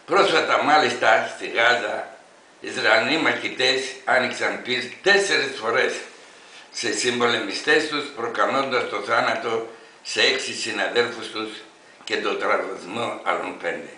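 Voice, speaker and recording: A man in his eighties from Greece reading an open letter aloud in an emphatic, declamatory way, with deliberate phrasing. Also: mid-range voice, sharp tone, echoey room, slight background noise, low-quality recording. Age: 80s.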